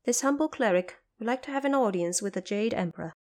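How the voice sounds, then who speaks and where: A woman voicing a serene, merciful goddess who speaks formally and with calm authority in an audio drama. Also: on the high side, close to an everyday voice. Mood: humble, formal. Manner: formal, measured, assured. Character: serene, merciful female Bodhisattva, authoritative divine being.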